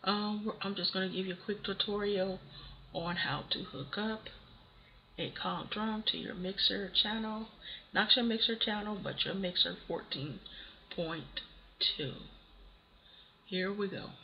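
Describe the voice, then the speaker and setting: A hoarse voice with a cold, casually talking through a software tutorial step by step. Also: medium pitch, fairly even intonation, measured pace. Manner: casual, talks through steps one by one. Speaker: tutorial host. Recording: dull lo-fi voice-over with faint hiss.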